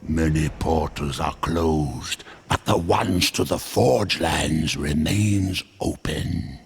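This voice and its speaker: An actor playing a sly, cryptic demon merchant in a video game, theatrical, mock-humble and darkly amused. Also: male voice, very deep voice, slow, vocal fry, a little hiss or hum, heavily acted.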